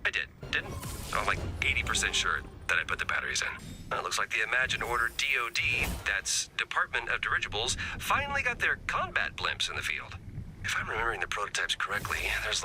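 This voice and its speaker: A wisecracking former spy in a video game, laid-back and a little scheming. His pitch swoops all over as he rambles in wry, slangy asides. There is clear noise behind him. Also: sing-song, fairly quick, bright, forceful tone, heavily acted, low-quality recording. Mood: sly, joking. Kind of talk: scripted game dialogue, casual chatty.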